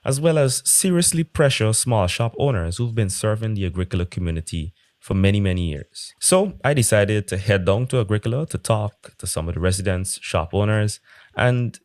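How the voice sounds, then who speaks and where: A man's voice: on the low side, expressive, moderate pace, darkish tone. A man reading scripted narration for a documentary-style video report, as its reporter. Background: faint music.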